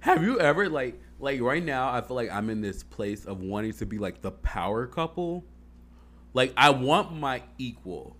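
A man's voice: mid-range voice, sing-song, moderate pace, fairly bright tone. A man in casual chat, talking earnestly and rambling, with fillers and swearing.

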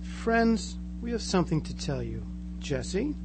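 A man's voice: sits high, sing-song, a little slow, over music. A man playing an eerily reassuring, converted small-town teenage boy in a radio drama, earnest and gushing. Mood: blissful. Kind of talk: live amateur radio drama acting.

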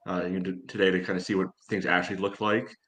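A man with a very low voice co-hosts a casual sports podcast. His delivery is flat and measured, hedged with frequent filler phrases. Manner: measured, hedging, peppered with "you know".

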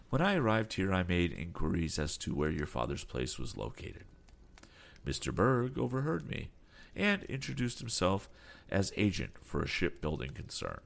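A man voicing a calm, polite older sea captain who keeps adding apologetic asides. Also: low voice, gravelly, pitched above the performer's own voice, full character voice.

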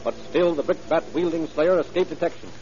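An actor as a grave crime-show narrator, formal and dramatic in scripted vintage radio style. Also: male voice, fairly high pitch, a little fast, close to an everyday voice. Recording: muffled old radio recording.

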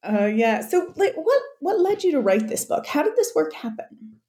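A woman's voice: high-pitched, very animated, normal speed, dark tone. Effusive woman podcast host. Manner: effusive, enthusiastic praise. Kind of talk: interview podcast.

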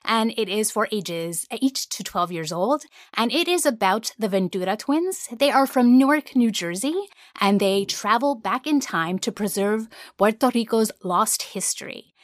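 A woman's voice: mid-range voice, animated, measured pace, no room sound, studio quality. A woman speaking conversationally as a guest who creates audio drama, explaining her work in an interview. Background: music.

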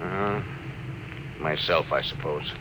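An actor playing a weary, determined veteran spaceship captain in an old radio drama, brisk and authoritative. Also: male voice, a bass voice. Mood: weary, sober. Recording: noisy vintage radio drama recording.

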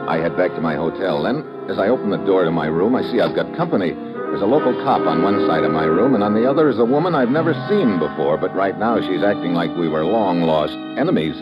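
An actor playing a tough, wisecracking American secret agent in a 1950s radio adventure, slangy and sardonic. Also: male voice, low voice, lively intonation, fast, over loud music. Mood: wry narration. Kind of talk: 1950s radio adventure acting, hard-boiled narration. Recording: dull vintage radio recording.

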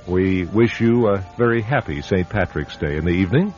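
A man hosting an old-time radio drama, delivering scripted narration in a measured, formal manner. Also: low voice, a little mellow, faint music behind. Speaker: host. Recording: dull old radio recording.

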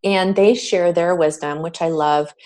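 A woman coach as a podcast guest, speaking in a reflective, warm way about her work. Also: on the low side, steady tone, measured pace. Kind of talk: interview conversation.

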